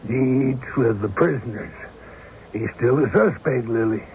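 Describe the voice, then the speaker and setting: An actor playing a calm, deferential small-town sheriff, plain-spoken and folksy, in a radio drama. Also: male voice, medium pitch, a little slow, close to an everyday voice. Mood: respectful. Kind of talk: 1970s radio drama acting. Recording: muffled, noisy old radio broadcast.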